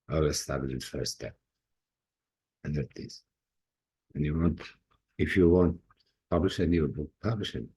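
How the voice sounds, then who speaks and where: Croatian guy talking in english, slow and halting, kinda husky. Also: very deep voice, a little mellow. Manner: halting, non-native phrasing with restarts. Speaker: indie author. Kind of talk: personal talk to camera.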